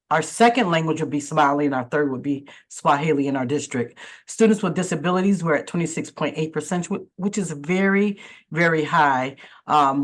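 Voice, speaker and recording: A woman who is a school district administrator, answering questions in a webinar panel and pausing often with fillers and restarts. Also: deep voice. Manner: halting, many fillers and restarts.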